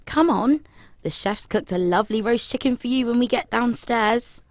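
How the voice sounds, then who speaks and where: A woman's voice: fairly high pitch, lively intonation, moderate pace, no room sound, close to an everyday voice. A woman playing a polite, helpful young daughter in a fantasy audio drama.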